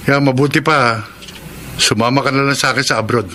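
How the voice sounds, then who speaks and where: Bright, protective elder brother, played by an actor. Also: male voice, mid-range voice, steady tone, brisk, a little hiss or hum, close to an everyday voice. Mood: firm, reassuring. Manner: insistent, caring. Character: protective, decisive elder brother working abroad. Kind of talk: Filipino radio drama acting.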